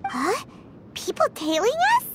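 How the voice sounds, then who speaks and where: A woman voicing a tiny, excitable fairy companion girl in a video game, with a squeaky, exclamatory delivery. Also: a little slow, background noise, heavily acted. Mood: surprised, plucky. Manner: squeaky, exclamatory, speaks of herself in third person.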